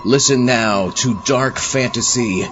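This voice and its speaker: A man's voice: medium pitch, slow pace, highs cut off, over music, lightly acted. A man playing a theatrical, tongue-in-cheek zombie astronaut who hosts a comic horror radio show. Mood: wry, theatrical. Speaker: host. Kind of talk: scripted comic horror narration.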